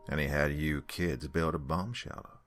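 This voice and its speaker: A man playing a gruff, defensive father and survivor in a horror audio story, with a gravelly, husky voice. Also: rumbling low, steady tone, moderate pace, a bit bright, faint music behind. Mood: wary, grim.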